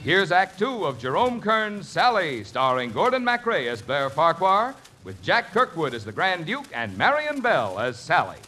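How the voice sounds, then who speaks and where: Male 1950s radio announcer, American, slightly high voice, very animated pitch, formal scripted delivery. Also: moderate pace, few pauses, music in the background. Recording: 1950 radio broadcast.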